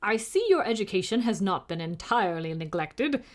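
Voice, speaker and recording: A proud little knight from a storybook, voiced by a woman reader. He speaks in formal, old-fashioned phrases with great dignity and is easily indignant. The pitch moves in lively swings. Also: normal speed, lightly acted. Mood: grave storytelling. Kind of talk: storybook character reading.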